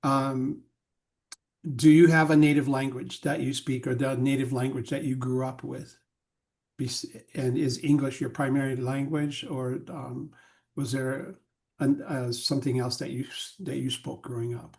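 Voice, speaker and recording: A man's voice: mid-range voice, fairly even intonation, a little slow, creaky, darkish tone. A man, a spiritual counselor, speaking on a video call and asking questions with many repeated words. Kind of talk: casual talk on a video call.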